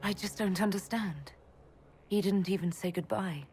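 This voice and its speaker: A woman's voice: mid-range voice, normal speed, darkish tone, faint music behind, natural voice. A woman playing a weary, subdued elf mage leader in a video game, speaking with restraint.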